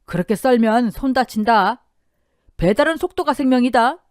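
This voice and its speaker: A woman voicing a blunt, gruff older male restaurant owner who scolds loudly but kindly, in a dry recording. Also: on the high side, animated, normal speed, dry recording, pitched above the performer's own voice, natural voice.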